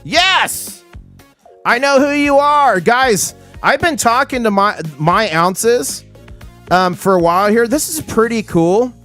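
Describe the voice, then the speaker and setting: A man hosting a casual livestream, his voice hoarse, talking with excitable hype-man energy. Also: very high voice, slow, soft background music. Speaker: livestream host.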